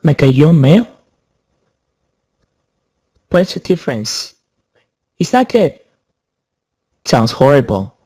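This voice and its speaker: A man speaking through an AI voice changer as a young male with a husky, muted voice. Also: fairly high pitch, very animated, slow pace, pauses often, darkish tone, no echo, studio quality, pitched above the performer's own voice, heavily acted. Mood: doubtful.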